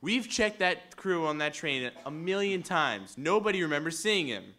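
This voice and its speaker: An actor playing a gruff, sceptical, blustering police commissioner in old-time radio drama. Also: male voice, on the high side, expressive, normal speed, pushed and bright. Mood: scornful. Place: live stage performance at a convention.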